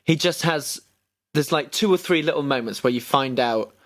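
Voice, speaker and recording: A man's voice: mid-range voice, moderate pace. A man co-hosting a podcast from home over an internet call, describing film moments hesitantly with fillers. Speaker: podcast co-host. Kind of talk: casual chat about a film.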